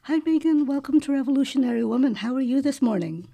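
A woman's voice: sits high, animated, fast talker, mellow. A woman hosting an interview show, reading a prepared introduction and then asking questions. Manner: reads intro smoothly, then short friendly prompts. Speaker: podcast host.

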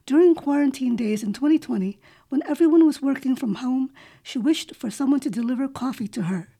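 A woman host with a slightly high, husky voice that sits on the mellow side. She reads her introduction evenly, then slips into relaxed conversation, answering her guest with quiet "mm-hmm"s. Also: brisk. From Philippines. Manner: reads intro smoothly, then chats with soft backchannels. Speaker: podcast host. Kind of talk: interview with scripted intro.

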